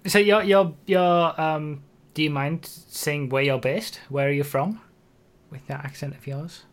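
A man's voice: fairly high pitch, fairly even intonation, unhurried. A man hosting a podcast, asking questions casually with many restarts and repeated words. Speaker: podcast host. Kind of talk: casual chat.

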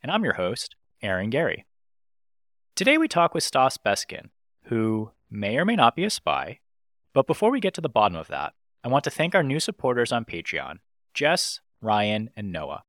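A man's voice: medium pitch, moderate pace, no room sound, clean studio sound. A man hosting a podcast, reading a scripted intro and then chatting playfully with his guest. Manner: animated, playful delivery. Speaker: podcast host.

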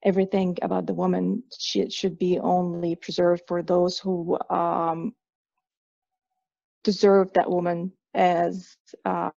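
A woman from Egypt in her thirties talks haltingly, full of fillers and restarts. Her voice is heavily gravelly and mellow. The recording is dry and dull. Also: lowish voice, level tone, no echo. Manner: hesitant, many fillers and restarts. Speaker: guest. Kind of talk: conversational interview.

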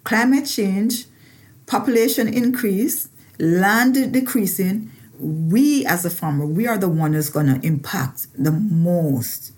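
A woman's voice: mid-range voice, lively intonation, slow, a little mellow. A woman from Jamaica with a Jamaican accent, a farmer and researcher talking personally in an interview and repeating phrases for emphasis.

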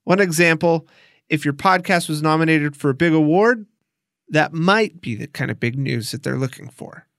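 A man's voice: fairly high pitch, normal speed, gravelly, fairly bright tone, dry recording, clean studio sound. A man hosting a podcast, reading scripted documentary narration in an instructional way with emphatic signposting. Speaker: podcast host.

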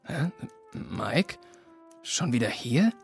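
A man's voice: mid-range voice, big pitch swings, very slow, gravelly, over music, heavily acted. An actor playing an overworked, doubtful but friendly policeman in a German children's audio drama, hesitant and apologetic. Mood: surprised.

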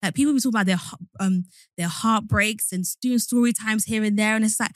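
A young adult woman hosting a podcast in casual, slangy, animated chat. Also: mid-range voice, fast talker, no room sound, studio quality. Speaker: podcast host.

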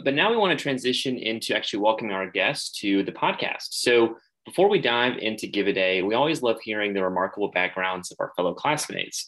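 A man's voice: on the low side, a bit bright, poor audio. A young man hosting a student podcast, upbeat and steering the conversation. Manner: upbeat, guiding questions. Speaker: podcast host.

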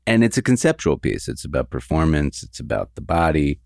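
A man's voice: a bass voice, very animated, creaky, darkish tone, no echo, studio quality. A man interviewing an artist, asking careful questions and framing them at length. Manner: measured, attentive, frames questions at length.

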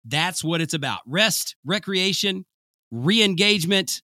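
Excitable sing-song male podcast host. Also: high-pitched, measured pace, dry recording, clean studio sound. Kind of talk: casual podcast chat.

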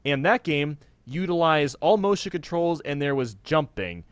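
A man's voice: fairly high pitch, animated, moderate pace, smooth, dry recording. A man in his twenties talking casually and giving his opinions in a podcast conversation. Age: 20s.

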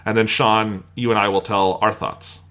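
A man's voice: lowish voice, flat delivery, normal speed, pushed and bright. A man hosting a podcast in a conversational style, introducing the show and guests. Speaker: podcast host.

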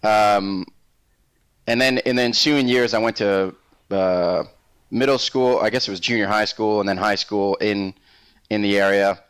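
A man from Puerto Rico, a native Spanish speaker, telling his personal story as an interview guest in a reflective, measured, conversational way. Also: lowish voice, fairly even intonation, fairly bright tone, smooth, no room sound. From Puerto Rico, later Massachusetts.

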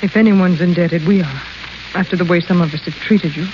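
An actress playing a spoiled, now repentant young society daughter in a 1930s radio play, earnest and contrite. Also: female voice, lowish voice, brisk, close to an everyday voice. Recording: muffled old radio broadcast with hiss.

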